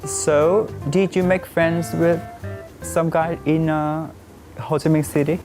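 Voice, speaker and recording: A man with a Vietnamese accent hosting a casual interview, asking questions in simple, halting phrases. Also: a little high, level tone, music in the background. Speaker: talk show host.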